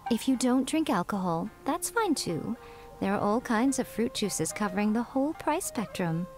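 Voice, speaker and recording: Haughty teasing fox shrine maiden, highish voice, really swoopy and playful, game dialogue. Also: female voice, very animated, normal speed, music in the background. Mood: breezy, teasing. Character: sly, teasing, self-important fox shrine maiden woman. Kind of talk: video game character dialogue.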